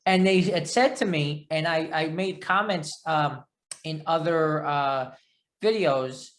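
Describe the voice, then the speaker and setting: A man's voice: fairly high pitch, takes it slowly, a bit bright. Chatty New York-accented male interviewer, roomy sound. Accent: New York area. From New York or New Jersey area. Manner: chatty, rambling, self-deprecating. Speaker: interview host. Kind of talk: casual conversational interview. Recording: echoey room sound.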